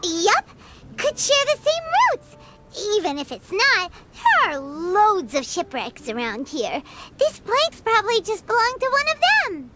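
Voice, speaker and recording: A tiny, earnest fairy sidekick from a video game. The voice is extremely high, squeaky and childlike, cutesy and cheerful with lively swoops in pitch. Loud music plays behind it. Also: female voice, measured pace, exaggerated voice. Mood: awkward, reassuring. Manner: cutesy, refers to self in third person. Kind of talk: scripted video game character dialogue.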